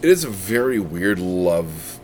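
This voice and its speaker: A man's voice: low voice, very animated, measured pace, darkish tone, slight background noise. A man co-hosting a podcast in a restaurant, rambling casually with crude jokes and many fillers. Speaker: podcast co-host. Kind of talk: casual chat. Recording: podcast recorded in a restaurant with music behind.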